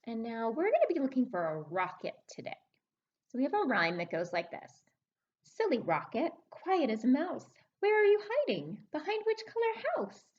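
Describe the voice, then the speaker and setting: A woman with a fairly high voice leads a story time for small children. Her pitch swings widely in a sing-song way as she reads and puts questions to her young listeners. The recording is a little dull and lo-fi. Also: huge pitch swings, moderate pace, darkish tone, slight background noise. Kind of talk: children's story time with read-aloud and rhyme game.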